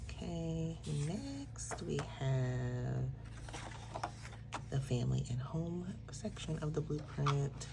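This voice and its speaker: Congested woman with a gravelly voice. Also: low voice, slow, heavy background noise. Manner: relaxed explaining with frequent fillers. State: congested, a little nasal. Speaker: planner shop owner. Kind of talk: casual product walkthrough.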